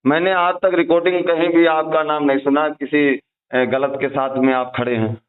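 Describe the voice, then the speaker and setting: Tinny phone-line man, higher-pitched and halting. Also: fairly even intonation. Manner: hesitant, halting delivery with repeated false starts. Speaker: caller. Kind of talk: deferential phone conversation. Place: phone call. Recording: tinny narrowband phone call recording.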